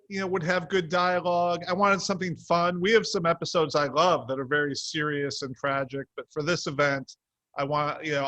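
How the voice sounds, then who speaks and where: A man's voice: fairly high pitch, pushed and bright, no echo. A man answering interview questions casually as a show's co-creator, rambling and hesitant, with self-deprecating asides. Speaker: TV series co-creator, interview guest.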